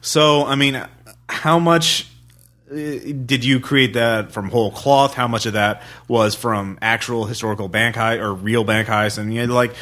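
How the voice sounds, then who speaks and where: A man hosting a podcast, chatting and asking questions in a halting, think-aloud way. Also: medium pitch, fairly even intonation, fairly bright tone. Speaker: podcast host. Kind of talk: casual chat, interviewing.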